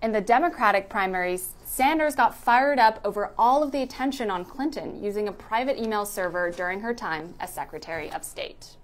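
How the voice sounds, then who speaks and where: A woman's voice: mid-range voice, brisk, few pauses. A woman reading a scripted narration in the style of a news recap.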